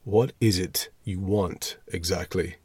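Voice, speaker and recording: Man as a gruff, sullen young bass teacher. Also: steady tone, slow pace, darkish tone, no echo, exaggerated voice. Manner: curt, cold.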